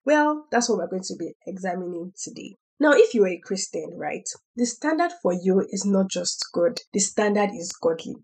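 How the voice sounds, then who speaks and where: A young woman hosting a faith podcast, talking in a chatty way with a hoarse voice and laughing between points. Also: medium pitch, animated, moderate pace, few pauses, a little mellow. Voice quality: husky. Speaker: podcast host. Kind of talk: faith-based casual podcast talk.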